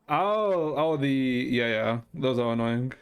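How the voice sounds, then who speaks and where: A man's voice: medium pitch, lively intonation, slow, clear, resonant tone. A man streaming a game and chatting casually with viewers, thinking aloud hesitantly with some mild swearing. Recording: music playing behind the voice. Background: music.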